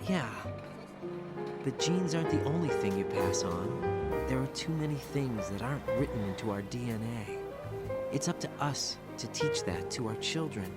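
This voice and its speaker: Guy acting young soldier, earnest n troubled, game cutscene, loud music behind him. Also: mid-range voice, animated, moderate pace, natural voice. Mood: earnest, tender. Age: young adult. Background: loud music score.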